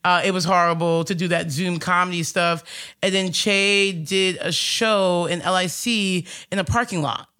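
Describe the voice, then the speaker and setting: A woman stand-up comedian from New with a deep, raspy voice, chatting casually in an interview with lots of fillers and restarts. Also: steady tone, moderate pace, bright, forceful tone. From Astoria, New York. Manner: rambling, many fillers and restarts.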